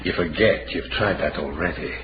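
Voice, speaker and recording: An actor playing a cunning, gloating gentleman thief in a radio drama, smug and theatrical. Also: deep voice, moderate pace, close to an everyday voice. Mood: gloating, menacing. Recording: dull, noisy radio drama recording.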